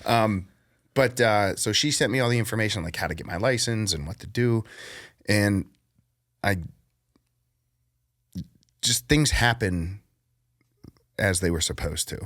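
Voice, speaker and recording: A man with a low, deep voice tells his story at an easy pace and laughs often. The sound is dry and close, with no room behind it. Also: unhurried, pauses often, a bit bright, no echo. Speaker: podcast guest. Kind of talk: casual chat.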